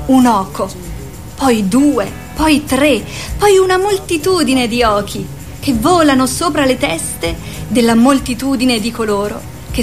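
High-voiced woman narrating over faint music. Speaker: narrator of a radio play. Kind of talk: theatrical scripted narration.

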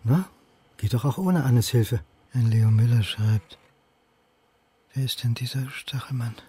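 An actor playing an anxious, suspicious male historian in a radio crime drama, thinking aloud in halting mutters. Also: medium pitch, dark tone, husky. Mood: brooding, pained. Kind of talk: radio drama acting with inner monologue.

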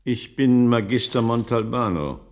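An actor playing a shrewd, probing magistrate's investigator in a German radio play, with formal, old-fashioned questioning. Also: male voice, medium pitch, animated, unhurried, a little mellow, slight background noise. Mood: probing, composed. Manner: formal, archaic, questioning address.

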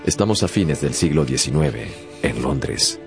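Very deep, gravelly male storyteller. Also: lively intonation, measured pace. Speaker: radio drama narrator. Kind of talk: dramatic scripted storytelling. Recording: music under the narration. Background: music.

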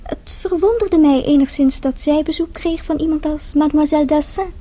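An actress playing a shrewd, coy young French chambermaid in a radio play, polite and businesslike. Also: female voice, high-pitched, fairly quick, warm tone, lightly acted. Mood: coy, confiding. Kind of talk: radio drama acting.

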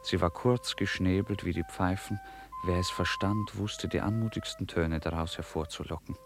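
A male narrator with a low voice reads scripted literary prose. His storytelling is formal and measured, in the style of a classic radio play.

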